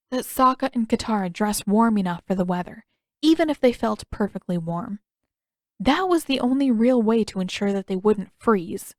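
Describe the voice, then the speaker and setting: A woman's voice: medium pitch, a little mellow, dry recording, studio quality. A woman reading a story aloud as an audiobook-style narrator. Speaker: podfic narrator. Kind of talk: scripted fiction narration.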